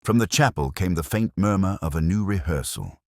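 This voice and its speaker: A man playing a brooding, melancholy police detective, narrating his story in a measured, solemn, literary manner. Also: low voice, animated, dry recording, clean studio sound. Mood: brooding, reflective.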